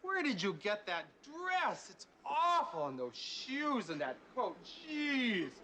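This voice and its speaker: A man's voice: very high voice, big pitch swings, slow pace, sharp tone, a little hiss or hum, exaggerated voice. A man playing a zany, flamboyant control-room joker in a film comedy, flippant and theatrical. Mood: catty. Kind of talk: absurd film comedy acting.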